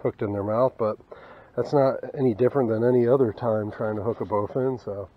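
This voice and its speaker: A young Southern man talks to an action camera from a small boat on a wooded river, with relaxed, half-mumbled asides to himself and the fish. The camera audio is a little dull. Faint outdoor sound sits behind his voice. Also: mid-range voice, fairly quick, a little hiss or hum. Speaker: fishing channel host. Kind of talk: casual fishing vlog commentary.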